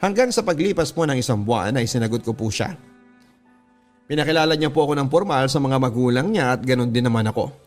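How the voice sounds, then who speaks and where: Filipino guy reading a heartfelt letter story for radio drama, mid pitched voice, soft music under it. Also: lively intonation, fast talker. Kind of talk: scripted dramatic first-person narration. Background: background music.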